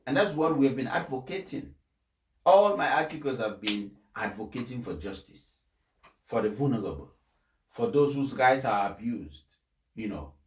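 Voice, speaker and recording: A man speaking in an emphatic, deliberate way, like someone delivering a speech and commenting on it. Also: mid-range voice, expressive. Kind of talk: formal read-aloud speech, then impassioned personal commentary. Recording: echoey, reverberant room sound.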